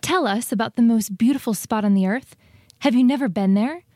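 Lady doing a curious lil storybook mouse, eager, highish voice, dry recording. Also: brisk, mellow, no echo, natural voice. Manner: inquisitive, wondering. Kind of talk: dramatized children's storybook reading.

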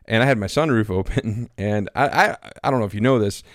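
A pastor in his thirties talking casually as a podcast co-host, with a loud voice that carries, rambling with many fillers and restarts. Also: lowish voice, quick pace, no room sound, studio quality. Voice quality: loud, carrying voice. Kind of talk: casual conversation. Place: small home studio.

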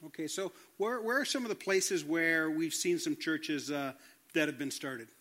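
Upbeat high-voiced male host. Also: moderate pace, fairly bright tone. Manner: upbeat, encouraging interviewer. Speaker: church host. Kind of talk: friendly interview.

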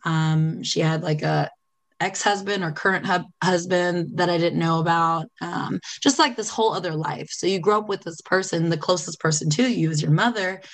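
A woman's voice: fairly low pitch, normal speed. A woman guest on a podcast, talking candidly and thoughtfully about her life, with many fillers and restarts. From Italy. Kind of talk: reflective personal interview.

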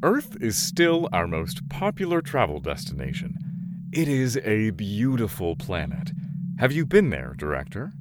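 An earnest alien warden with a lowish voice that swings widely in pitch. He is formal and deferential, as in a sci-fi radio play, over a loud ambient hum. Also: huge pitch swings, unhurried. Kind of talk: science fiction audio drama acting.

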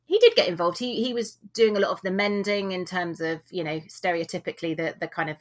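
British woman, low-pitched voice, rambling and self-deprecating, forties, home recording. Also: expressive, fast talker. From England. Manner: chatty, rambling, self-deprecating with frequent laughs. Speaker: guest author. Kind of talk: casual interview chat.

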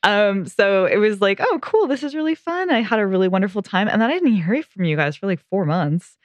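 A woman's voice: mid-range voice, lively intonation, fairly quick, no echo. A woman podcast co-host chatting casually, telling stories and laughing often. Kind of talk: casual chat.

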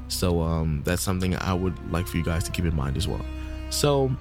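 A man's voice: low voice, sing-song, a little fast, over music. A man co-hosting a podcast in casual, rambling chat, full of "you know" and "um," pushing upbeat calls to listeners. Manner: laid-back, rambling, lots of "you know" and "um"; upbeat promo sign-off push. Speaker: podcast co-host.

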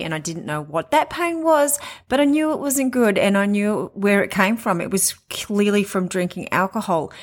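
Candid woman in her late forties. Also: mid-range voice. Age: 40s. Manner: candid, conversational, with frequent pauses and restarts. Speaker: podcast host. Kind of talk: personal monologue.

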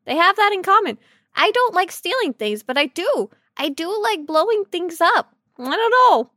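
A woman co-hosting a silly, casual podcast about video game characters, chatting in an excitable, giggly and animated way. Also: extremely high pitch, normal speed, no room sound, studio quality. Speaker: podcast co-host.

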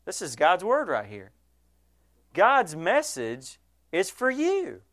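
A man's voice: sits high, huge pitch swings, slow, a bit bright. A male pastor preaching a sermon, earnest and direct, addressing the congregation with frequent rhetorical questions.

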